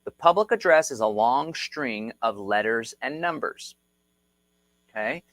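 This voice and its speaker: Energetic livestream host, man, mid pitch, dry close sound, rallying and repetitive delivery. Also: a little slow, no echo. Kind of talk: casual livestream explainer. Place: Texas.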